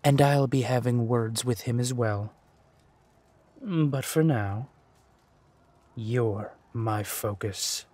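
Man acting a husky, slow-spoken vengeful villain. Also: mid-range voice, darkish tone, dry recording. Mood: cold anger. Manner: slow, deliberate, menacing delivery. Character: vengeful, menacing male villain, ruthless and coolly mocking. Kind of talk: scripted villain roleplay audio drama.

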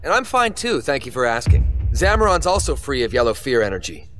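A man's voice: medium pitch, big pitch swings, a little fast, fairly bright tone, soft background music. A man voicing a cocky, loyal young human superhero in a video game, casual and wisecracking. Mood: wry.

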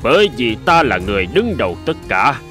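A man's voice: mid-range voice, sing-song, fairly quick, fairly bright tone, soft background music. A man playing a haughty, menacing middle-aged king in a cartoon folk tale. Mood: imperious.

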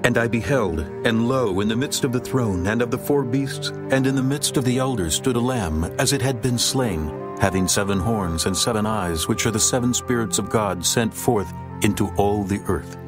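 A man narrating a dramatized scripture reading in a solemn, measured way, over music. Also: fairly low pitch.

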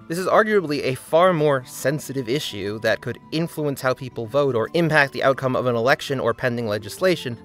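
A man's voice: mid-range voice, a bit bright. A man narrating a scripted video essay in an earnest, critical tone.

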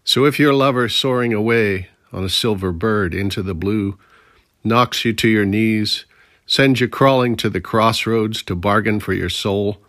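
An American man in his sixties reads as a poet would, from a prepared text. His voice sits a little low and the recording is very dry. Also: fairly bright tone, dry recording. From Pittsburgh, Pennsylvania, USA. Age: 60s. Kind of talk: prepared introduction and poetry reading.

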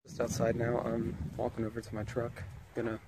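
A man's voice: mid-range voice, monotone, brisk. A young man chatting casually as a vlogger, talking into a phone held at arm's length. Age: young adult. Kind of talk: casual vlog chat. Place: home rooms, car and outdoors. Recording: clear background noise, handheld phone.

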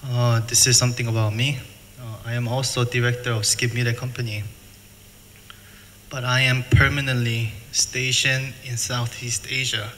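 A middle-aged man from the United States presenting at a seminar, speaking plain, halting English and repeating words. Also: medium pitch, little pitch movement, fairly bright tone. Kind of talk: conference presentation. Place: hotel function room. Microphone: table mic, filmed from afar. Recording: reverberant hall, faint noise.

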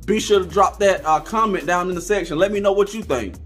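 A man's voice: high-pitched, fairly quick, fairly bright tone, soft background music. A man in his thirties, a vlogger and truck driver, giving an emphatic, preachy direct-to-camera rant in a small room. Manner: emphatic, preachy, repeats phrases for effect. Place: small indoor room with kitchen cabinets.

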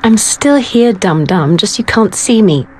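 A woman's voice: medium pitch, lively intonation, unhurried, background noise, natural voice. An actress playing a sassy, bossy young female holographic companion in a video game, teasing and sardonic.

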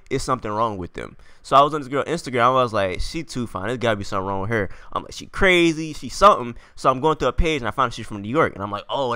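A man's voice: medium pitch, sharp tone, smooth, no room sound. A man from Florida hosting a casual video-call interview, joking and swearing loosely. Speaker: host. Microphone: phone on a video call.

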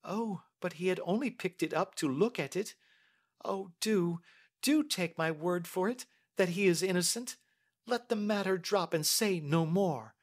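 A man voicing an earnest, anxious young Victorian lady with polite, soft-spoken pleading, in audiobook style. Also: high voice, measured pace, pitched above the performer's own voice. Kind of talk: audiobook character acting.

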